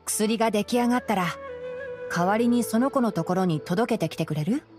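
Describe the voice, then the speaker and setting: A woman playing a young male forest ranger in a Japanese anime game, calm and studious, explaining things in a measured way. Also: mid-range voice, over music, lightly acted. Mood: composed, encouraging. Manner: measured, matter-of-fact explaining.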